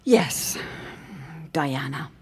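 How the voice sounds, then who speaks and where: Period audio drama: proper older mother, sorrowful and genteel, husky, unhurried delivery. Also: very deep voice, lively intonation, darkish tone, heavily acted. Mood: gentle, reproving.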